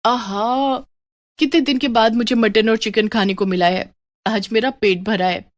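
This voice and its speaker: A woman's voice: a little high, steady tone, unhurried, no echo, close to an everyday voice. A woman voicing a sly, food-loving young daughter-in-law in a cartoon story, with a dismissive, excuse-making manner. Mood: satisfied.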